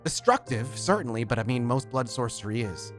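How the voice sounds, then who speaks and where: A man's voice: mid-range voice, lively intonation, a little fast, lightly acted. Guy doing a jittery young apprentice sorcerer, stammers and trips over his words, um and uh everywhere, faint music underneath. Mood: uneasy, explaining. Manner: stammering, hesitant, many fillers. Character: nervous young male apprentice blood sorcerer. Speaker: storyteller voicing a character.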